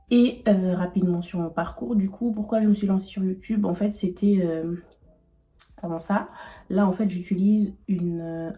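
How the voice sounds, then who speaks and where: A woman's voice: fairly low pitch, warm tone. A young woman in her twenties with a cold, chatting casually while doing her makeup, rambling with many fillers and restarts. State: has a cold. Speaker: beauty vlogger.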